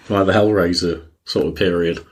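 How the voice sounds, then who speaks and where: A man's voice: low voice, slightly rough. A young man co-hosting a podcast, chatting in an easygoing way, often hesitating and restarting his sentences. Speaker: podcast co-host. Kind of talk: casual chat.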